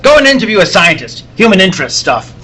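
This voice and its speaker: A man playing a gruff, anxious, bossy newspaper editor in a 1940s radio serial, barking his lines at a live table read. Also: high voice, very animated, fast, pushed and bright, exaggerated voice.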